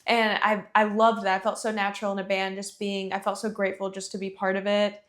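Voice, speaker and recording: A woman's voice: mid-range voice, completely flat delivery, brisk. A young woman from Georgia, a singer-songwriter chatting casually as an interview guest, laughing often and filling with "you know". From Athens, Georgia.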